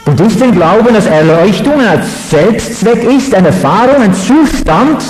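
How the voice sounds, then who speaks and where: A man from the Netherlands, giving a measured, halting lecture on religion with fillers. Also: sits high, lively intonation, a little mellow. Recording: echoey hall.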